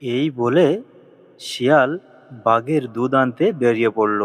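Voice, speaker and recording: A man's voice: mid-range voice, unhurried. A man narrating a scripted animal folk tale as a storyteller. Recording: long echoing reverb.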